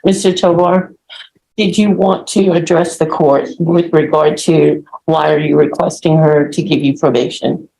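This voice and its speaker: Creaky-voiced woman defense attorney. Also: low voice, flat delivery, measured pace, dark tone, low-quality recording. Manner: formal. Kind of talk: courtroom objections and questioning. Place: courtroom.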